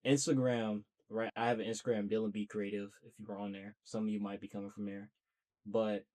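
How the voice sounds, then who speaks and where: A man's voice: on the low side, darkish tone. Talkative young man, earnest monologue. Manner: talkative, earnest, self-motivating. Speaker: podcast host. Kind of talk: casual solo monologue.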